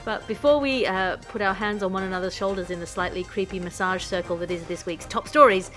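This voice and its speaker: A woman's voice: mid-range voice, a little fast, fairly bright tone, faint music behind. A woman hosting a comic magazine-style podcast in a wry, wordy, mock-formal way. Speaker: podcast host.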